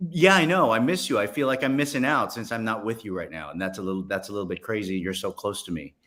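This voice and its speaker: An American man hosting a casual, friendly interview, speaking haltingly and repeating words as he forms his questions. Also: fairly low pitch, a bit bright. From Ohio, United States. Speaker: interview host.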